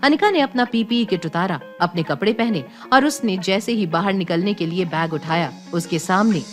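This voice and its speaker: A woman's voice: medium pitch, animated, moderate pace. A woman reading scripted, dramatic story narration as the narrator of an audio series. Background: music.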